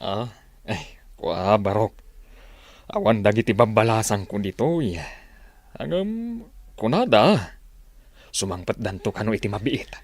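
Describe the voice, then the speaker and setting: Easygoing middle-aged village father played by a man, Filipino accent, slightly low voice, dry sound, hesitant delivery. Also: sing-song, measured pace, long pauses, dry recording, exaggerated voice. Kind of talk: comedy drama acting.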